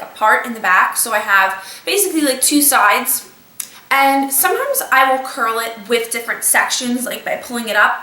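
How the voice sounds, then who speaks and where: A woman's voice: fairly high pitch, pushed and bright, distant mic. Chatty young woman with a slight cold. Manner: chatty, explains step by step with many fillers. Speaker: beauty vlogger. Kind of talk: casual talk-through tutorial. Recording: echoey room, voice a bit off-mic.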